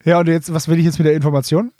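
A man's voice: on the high side, little pitch movement, rapid-fire, dark tone, no echo, clean studio sound. A man chatting casually and humorously as a podcast co-host, colloquial and jokey, slipping into short mock quotes. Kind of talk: casual humorous chat recapping an audio drama.

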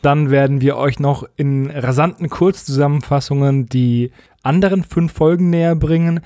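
Male podcast co-host, slightly higher-pitched, dry close recording, casual wry review chat. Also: normal speed, mellow, no room sound.

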